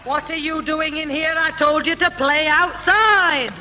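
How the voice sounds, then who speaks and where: A woman's voice: high-pitched, fairly even intonation, measured pace, sharp tone, dull audio, heavily acted. A woman playing a harried, short-tempered mother with a Northern English accent in a 1960s British radio sitcom. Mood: angry, scolding. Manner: scolding, harried. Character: exasperated, short-tempered working-class mother. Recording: faintly noisy 1960s radio broadcast.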